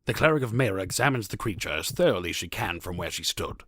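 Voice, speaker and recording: A man's voice: sits low, animated, fast talker, a bit bright, slightly rough, dry recording, studio quality. A man narrating a scripted fantasy story as the narrator of an audio drama.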